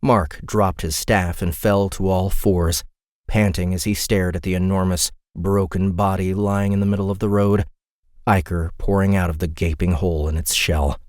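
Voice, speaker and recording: A man's voice: sits low, steady tone, normal speed, darkish tone, dry recording, clean studio sound. A man reading dramatic scripted fantasy narration as an audiobook narrator.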